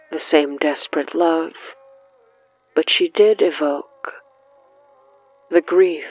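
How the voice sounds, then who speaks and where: A narrator reading scripted horror fiction in a measured, deliberate way, breaking sentences into short, suspenseful phrases. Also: mid-range voice, no echo, dull audio.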